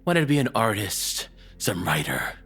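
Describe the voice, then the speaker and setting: A man playing a cruel, controlling ex-boyfriend in an intimate audio roleplay, taunting and belittling slowly in a breathy, husky voice that drops toward whispers. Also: on the low side, wildly swooping pitch, a bit bright, faint music behind, heavily acted. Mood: cold contempt. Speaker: voice actor.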